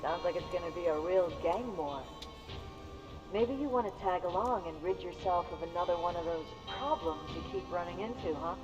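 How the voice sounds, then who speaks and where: An actor playing a sly, chummy underworld tipster in a video game, gossipy and insinuating. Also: male voice, sits high, normal speed, natural voice. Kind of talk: video game cutscene acting. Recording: dull, reverberant, music behind.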